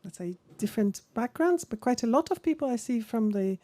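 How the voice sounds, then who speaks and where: A woman leading a policy workshop in a conference room, her voice fairly high but mellow. She talks in a friendly, rambling way, often restarting her sentences. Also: lively intonation, fairly quick, a little hiss or hum. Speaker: workshop moderator.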